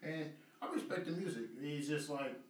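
A man in his twenties, the interviewer in a casual chat, telling a story in a relaxed, conversational way. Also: fairly high pitch, moderate pace, a little hiss or hum. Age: 20s. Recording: echoey room, slightly distant mic.

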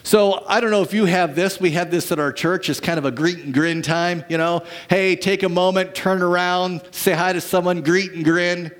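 Male pastor, sermon, high voice, storytelling, lively then hushed. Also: normal speed, hardly any pauses. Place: church.

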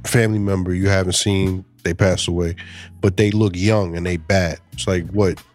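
A young man co-hosting a relaxed chat who answers mostly with short reactions and the odd teasing jab. His voice sits a little low, with faint music playing behind it. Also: moderate pace. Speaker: podcast co-host. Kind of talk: casual chat.

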